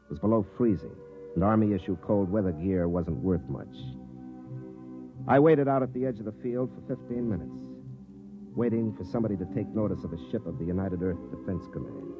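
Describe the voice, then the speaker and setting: A world-weary, sardonic space captain from a 1950s radio drama. His low voice moves with lively swings of pitch, telling his story with bitter cynicism. It all comes through thin and narrow like a telephone, on an old broadcast recording. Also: a little fast. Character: jaded, hard-drinking army space captain narrating his story. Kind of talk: 1950s radio drama acting with first-person narration. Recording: band-limited 1950s radio recording with music.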